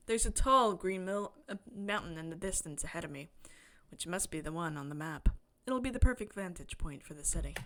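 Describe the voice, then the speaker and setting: A woman game streamer expressively reading a visual novel aloud, breaking into excited, chatty asides. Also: mid-range voice. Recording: music behind the voice. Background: game music.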